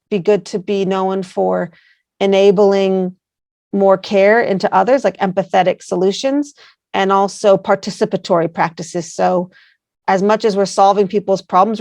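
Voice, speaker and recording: A woman's voice: mid-range voice, gravelly, a little mellow, no echo. A woman with an American accent, answering interview questions earnestly, with many fillers and rambling asides. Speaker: guest, placemaking founder.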